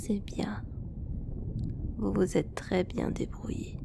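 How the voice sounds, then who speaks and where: A woman playing an attentive, easily flustered android technician in a French ASMR roleplay, whispering politely with a creaky, breathy edge. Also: medium pitch, level tone, slow. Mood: gentle, clinical.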